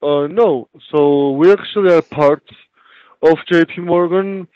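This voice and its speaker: A man's voice: on the high side, takes it slowly, darkish tone, no room sound, lo-fi sound. A man working a call center line, flustered and apologetic, often asking the caller to repeat. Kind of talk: phone call.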